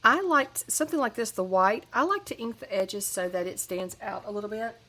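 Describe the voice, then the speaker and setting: A woman's voice: medium pitch, expressive, fairly quick, sharp tone. A woman with a head cold, chatting casually through a crafting tutorial with lots of fillers and restarts. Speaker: crafting channel host.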